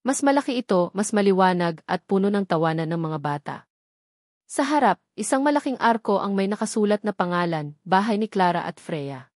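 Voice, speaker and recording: A woman's voice: medium pitch, lively intonation, fairly quick, a little mellow, no room sound. Woman narrating a drama, dry close sound. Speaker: story narrator. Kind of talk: scripted dramatic story narration in Tagalog.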